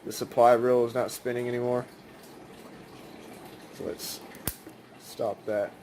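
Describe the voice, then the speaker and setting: A man's voice: medium pitch, little pitch movement, takes it slowly, background noise. A man giving a casual, rambling hands-on demonstration in a conversational way. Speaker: video maker demonstrating cassette tapes.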